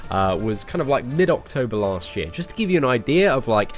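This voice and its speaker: A man's voice: medium pitch, big pitch swings, fairly quick, soft background music. Chatty, stuffed-up gaming streamer man. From England. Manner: chatty, many fillers, greets viewers by name. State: nasal from a cold. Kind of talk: casual live-stream commentary.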